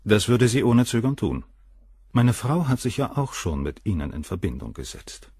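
A cynical, self-satisfied surgeon in a radio crime drama. His voice is low and husky, with lively swings in pitch. It is recorded close and dry. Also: moderate pace, dry recording. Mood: cool. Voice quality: cool, cynical, dismissive. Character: cold arrogant famous male surgeon, middle-aged. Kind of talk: radio play acting.

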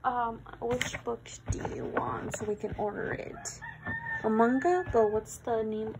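A woman doing an ASMR roleplay as a helpful new library worker, speaking softly and hesitantly and sometimes dropping to a whisper. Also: on the high side, measured pace, noisy background.

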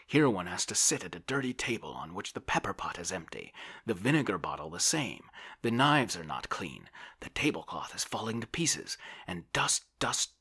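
Storybook bully voiced by a man: brash, hectoring, swooping emphatic delivery, sharp tone. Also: on the low side, husky, pitched above the performer's own voice, exaggerated voice. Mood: blustering, bossy. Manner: blustering, scolding, bossy. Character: brash, bullying, grasping Russian man, hectoring his friend. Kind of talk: audiobook character voice.

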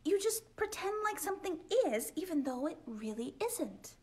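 Woman voicing a high, eager little storybook girl, breathless and bouncy. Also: very animated, normal speed, a little mellow. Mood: puzzled. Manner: eager, breathless, sometimes whispered. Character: excitable young girl storybook character.

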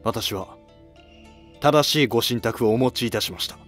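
A man's voice: mid-range voice, normal speed, fairly bright tone, soft background music, close to an everyday voice. Man playing a steadfast, resolute envoy. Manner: formal, resolute. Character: steadfast, honest male court envoy.

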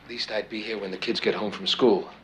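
An actor playing an unemployed husband who talks gruffly and sarcastically. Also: male voice, lowish voice, steady tone, a little fast, a little hiss or hum, lightly acted, low-quality recording. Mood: gentle. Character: unemployed husband, proud and frustrated. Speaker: out-of-work husband.

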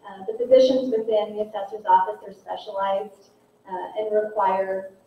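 A woman's voice: mid-range voice, level tone, normal speed. A woman in her thirties giving a formal candidate statement into a handheld mic in a large community hall, with frequent ums and uhs. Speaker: county assessor candidate. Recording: echoey hall, distant, phone-like, faint hiss.

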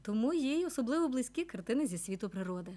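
A woman's voice: on the high side, sing-song, quick pace, warm tone. A woman reporter reading scripted narration for a television news report.

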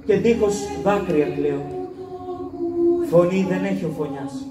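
An actor playing a grieving father who mourns the daughter he wronged, in a slow, lamenting stage monologue, recorded from far off in an echoey hall. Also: sits high, expressive, loud music behind the voice. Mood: keening lament. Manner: slow, anguished, lamenting delivery. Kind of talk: theatrical monologue, stage declamation.

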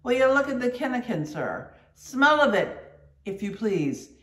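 A reader voicing a boisterous, mocking whaling mate who rants loudly in indignation. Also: medium pitch, expressive, a little slow, roomy sound, lightly acted. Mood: indignant. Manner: loud, mocking, indignant outbursts. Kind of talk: audiobook character reading.

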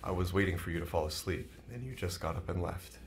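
An actor playing an anxious, bewildered man on the run as an accomplice in an audio drama, halting and full of questions. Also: sits low, gravelly, noisy background. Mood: guilty, pleading. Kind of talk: naturalistic audio drama acting.

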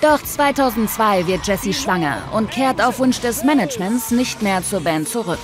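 A woman's voice: medium pitch, expressive, normal speed. A woman narrating a TV documentary from a script in a conversational, playful style. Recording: music bed under narration. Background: background music.

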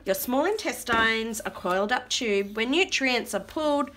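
A woman reading a picture book aloud to small children in an expressive, child-directed way, pausing to ask questions and repeat words. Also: a little high. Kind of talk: read-aloud storytime with chat to small children. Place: carpeted floor indoors at home. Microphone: camera looking down at the book.

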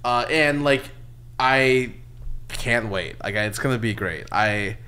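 A man's voice: medium pitch, slow pace, sharp tone. A man in his early thirties chatting casually as a podcast host, in a loose, swearing manner. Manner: loose, swearing, filler-heavy. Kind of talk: casual chat. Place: small indoor podcast studio. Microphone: close desk microphone.

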